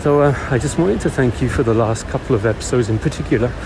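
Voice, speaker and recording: A middle-aged man leaving a casual voice message for a podcast while on the move, hesitant and thinking aloud with many pauses. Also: mid-range voice. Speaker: podcast caller. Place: on the way to work. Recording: voice message with loud travel background noise.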